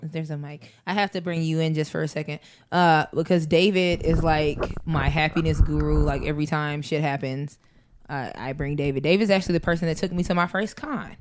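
A woman hosting a casual chat, laughing often and reacting quickly. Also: low-pitched, fairly even intonation, slight background noise. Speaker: host.

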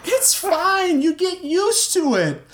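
Man acting a whiny, high-strung young man. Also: very animated, slow, heavy background noise, heavily acted. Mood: frantic shouting. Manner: pleading, sobbing, yelping protests. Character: panicky young man, whiny, slurring, defiant would-be action hero.

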